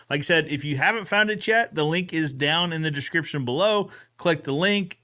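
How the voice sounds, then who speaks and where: A man's voice: a little high, a bit bright, clear, resonant tone. A man hosting a podcast recap in a casual, enthusiastic chatting style. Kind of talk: casual chat.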